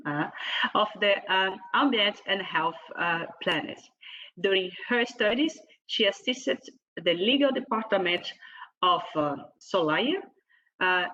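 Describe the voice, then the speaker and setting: A woman moderating an online panel, introducing a speaker formally in a halting, hesitant way, partly reading from notes. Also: mid-range voice, bright, forceful tone, lo-fi sound. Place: online video meeting.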